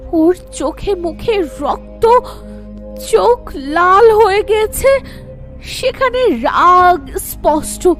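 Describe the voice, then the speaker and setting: A woman voicing a very high-voiced young wife narrating. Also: full character voice. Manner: slow, tense storytelling. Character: young wife telling her own horror story, terrified. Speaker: first-person narrator. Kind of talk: animated horror story narration.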